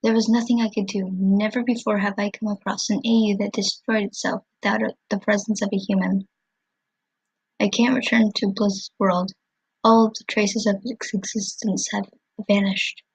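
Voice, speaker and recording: Woman playing an earnest young hero, husky and dramatic. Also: mid-range voice, little pitch movement, normal speed, low-quality recording. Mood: somber, reflective. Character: dimension-hopping artist hero, anxious and caring, male. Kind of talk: dramatic fan comic dub.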